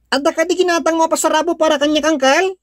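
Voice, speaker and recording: Very high-pitched eager little child. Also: female voice, fairly even intonation, fast, no echo, clean studio sound. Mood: eager affection. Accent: Ilocano. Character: small excitable child, affectionate with uncle. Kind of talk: Ilocano audio drama acting. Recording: music under the voice. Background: music.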